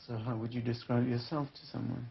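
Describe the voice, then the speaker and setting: Gravelly-voiced male interviewer. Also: mid-range voice, little pitch movement, a little fast. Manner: probing, challenging. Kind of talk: probing documentary interview questions. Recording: dull 1980s documentary audio.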